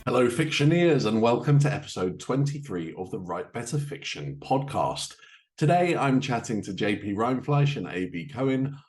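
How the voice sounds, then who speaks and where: A man's voice: mid-range voice, sing-song, normal speed, a little mellow. A man hosting a podcast, reading an upbeat scripted intro and then teasing his guests playfully in the interview. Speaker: podcast host.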